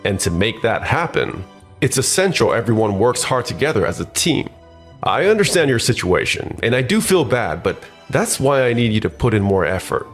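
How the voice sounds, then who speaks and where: Man acting scheming office manager, animated delivery. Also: medium pitch, normal speed, faint music behind, close to an everyday voice. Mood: smug, pushy. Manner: pushy corporate formality. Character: scheming, self-important male newly transferred office manager. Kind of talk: scripted manga dub acting.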